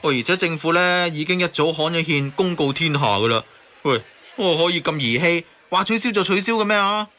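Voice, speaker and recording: Insistent, high-voiced arguing man. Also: brisk, sharp tone. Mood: indignant. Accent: Hong Kong Cantonese. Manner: insistent, emphatic, repeats words. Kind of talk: radio drama acting.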